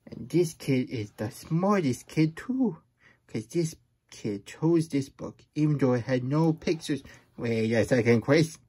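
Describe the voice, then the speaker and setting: A man's voice: medium pitch, animated, measured pace, mellow, slightly rough. A man voicing a silly, childlike plush hedgehog who reads storybooks aloud in babyish broken grammar and gets easily flustered. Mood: playful, suspicious. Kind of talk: playful storybook read-aloud.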